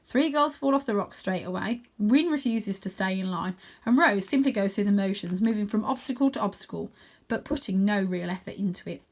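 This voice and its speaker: A woman's voice: medium pitch, expressive, a little fast. A woman reading a children's storybook aloud expressively, with chatty asides to her listeners. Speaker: reader of children's stories.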